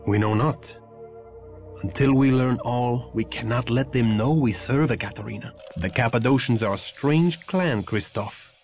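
Male actor as stern vampire mentor, medieval, mid-pitched, solemn archaic phrasing, video game drama. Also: unhurried, darkish tone, faint music behind, close to an everyday voice. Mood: grave. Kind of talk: theatrical video game acting.

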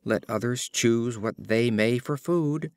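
A man voicing a lowly, philosophical donkey in a storybook fable, with declamatory, old-fashioned rhetoric. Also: slow, dry recording, lightly acted. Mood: reflective, contented.

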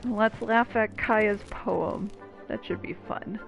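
A woman's voice: medium pitch, fairly even intonation, takes it slowly, creaky. A woman streamer giving casual, joking commentary as she plays a game. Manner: joking, chatty. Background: game music.

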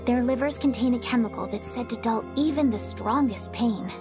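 Actress as a calm village advisor, higher voice. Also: female voice, over music, close to an everyday voice. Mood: concerned, helpful. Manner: measured, explanatory. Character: calm, helpful young woman, village advisor. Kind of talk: game voice acting.

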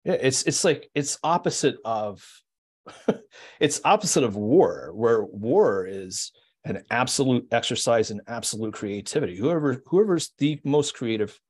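A man's voice: mid-range voice, animated, measured pace, darkish tone, husky. A man hosting a podcast in casual chat, easygoing and agreeable, often chiming in with short yeahs.

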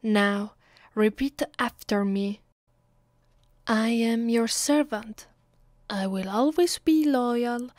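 Slow, commanding Italian-accented vampire mistress played by a woman. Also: a little high, a little mellow, no room sound, studio quality. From Italy. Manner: commanding, deliberate. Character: domineering, sadistic female vampire mistress, haughty and cruel. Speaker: voice actress. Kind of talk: ASMR roleplay, close and slow with whispered moments.

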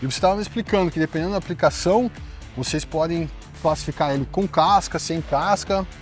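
A man's voice: fairly high pitch, a little fast, soft background music. Upbeat Brazilian man hosting a sawmill tour. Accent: Brazilian Portuguese. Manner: upbeat, leading questions. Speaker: host. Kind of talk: on-site company tour interview. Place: outdoors at a sawmill.

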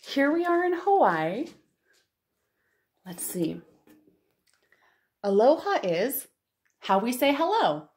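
A woman in her thirties hosting a storytime for young children, reading aloud and chatting in an expressive, exclamatory way. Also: sits high, slow pace, long pauses. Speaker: children's librarian and storytime host. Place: living room at home.

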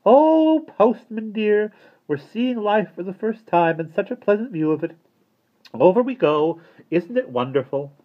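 A man's voice: high voice, animated, measured pace, lo-fi sound, pitched above the performer's own voice. A man voicing a giddy, joyful lady in a children's storybook, with a rapturous singsong manner.

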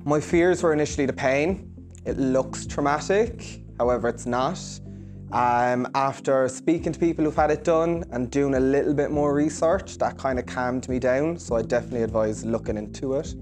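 An earnest young man tells his story in a reassuring, sincere way, pausing now and then on a filler. His voice sits at an ordinary middle pitch, picked up close on a lapel mic. Soft music plays faintly underneath. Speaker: hairdresser giving a clinic testimonial. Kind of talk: interview testimonial. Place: hair salon, indoors.

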